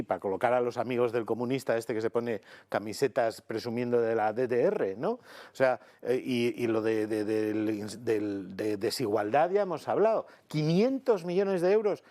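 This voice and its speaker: Sarcastic panelist from Madrid. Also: male voice, medium pitch, normal speed, dark tone. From Madrid, Spain. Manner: sarcastic, mocking. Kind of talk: studio panel debate.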